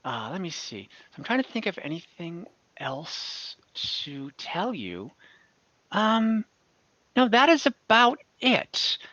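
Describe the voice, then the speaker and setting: A man narrating a tabletop fantasy game as game master over a video call, in a halting, improvised way. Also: sits high, sing-song, pushed and bright, no echo. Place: indoors at home, on a video call. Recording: slightly dull online call audio.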